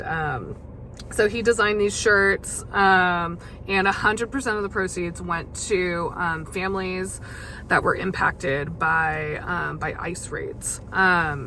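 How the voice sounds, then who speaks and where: A young woman hosting a casual solo podcast, chatty and full of fillers, her voice gravelly as she loses it. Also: fairly low pitch, slow pace, bright, forceful tone, slight background noise. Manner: chatty, many fillers and restarts. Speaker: podcast host.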